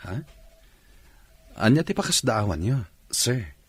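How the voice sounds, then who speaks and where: An actor playing an earnest, respectful young man and devoted suitor in a radio drama, with a husky voice. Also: lowish voice, sing-song, slow pace, dry recording, full character voice.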